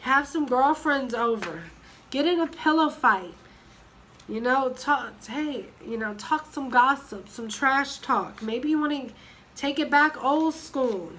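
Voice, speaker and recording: A woman in her thirties talks unhurriedly in a somewhat high voice, hoarse from a cold. She rambles casually, with plenty of ums and restarts. A faint hiss of noise sits under the recording. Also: takes it slowly, fairly bright tone, poor audio. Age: 30s. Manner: rambling, with many fillers and restarts. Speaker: vlogger. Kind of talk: casual chat.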